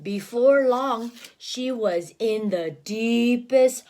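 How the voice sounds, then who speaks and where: A woman reading a picture book aloud as a teacher, expressive, with gasps and questions for a young child. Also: fairly high pitch, extremely slow. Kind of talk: animated children's read-aloud with explanations.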